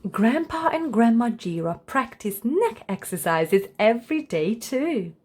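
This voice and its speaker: A woman's voice: on the high side, very animated, a little slow, a little mellow. A woman storyteller reading a children's book aloud as scripted narration.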